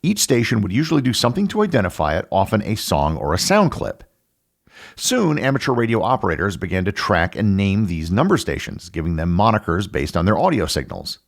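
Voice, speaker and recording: A middle-aged American man hosting a podcast, reading scripted educational narration in a measured, explanatory way. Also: on the low side, sing-song, gravelly. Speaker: podcast host.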